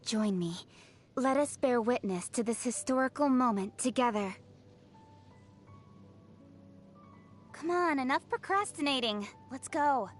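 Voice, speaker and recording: A confident young female official from a video game, with a highish voice. She is brisk, principled and articulate, speaking in an assured, businesslike way over music. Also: expressive. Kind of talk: scripted video game character voice lines.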